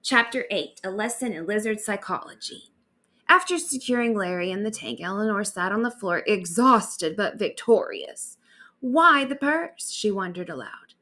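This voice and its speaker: A woman reading a bedtime story aloud as an expressive storyteller. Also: mid-range voice, normal speed.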